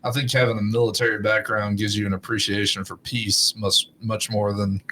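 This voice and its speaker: Man in his thirties, low flat voice, easygoing, faint background hiss. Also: brisk. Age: 30s. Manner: easygoing, agreeing. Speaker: podcast host. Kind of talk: casual interview chat.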